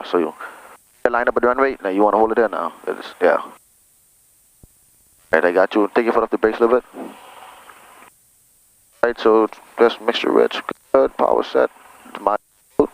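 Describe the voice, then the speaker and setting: A man's voice: lowish voice, a little fast, creaky, pauses often. A man giving informal, reassuring flight instruction as a pilot, talking casually through checks and controls over an aviation headset. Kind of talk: casual cockpit instruction and radio calls. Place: small plane cockpit in flight operations. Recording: noisy aircraft cockpit intercom.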